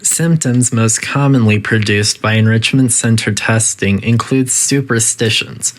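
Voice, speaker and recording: A man's voice: lowish voice, measured pace, close to an everyday voice. A man voicing a coolly polite, faintly menacing facility AI that gives formal, detached test-chamber announcements in a video game. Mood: clinical, detached.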